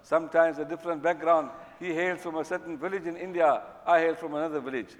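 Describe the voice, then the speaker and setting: Fast-talking male preacher in an echoey hall. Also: a little high, steady tone. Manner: emphatic, repeats phrases for stress. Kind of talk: religious sermon. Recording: echoey hall with long reverb.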